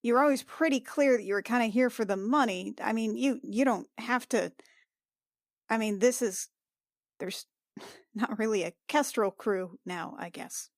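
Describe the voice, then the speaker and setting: A woman's voice: fairly high pitch, moderate pace, no room sound, studio quality. A woman playing in a tabletop role-playing game, improvising in casual conversation. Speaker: player in a tabletop game podcast. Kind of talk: casual improvised in-character chat.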